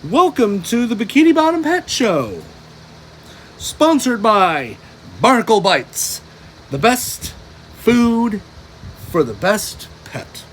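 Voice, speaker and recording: A showy cartoon fish show host, put on by a man reading a storybook. He announces grandly in a raised voice, stretching his words slowly. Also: sits high, big pitch swings, fairly bright tone, a little hiss or hum, pitched above the performer's own voice, full character voice.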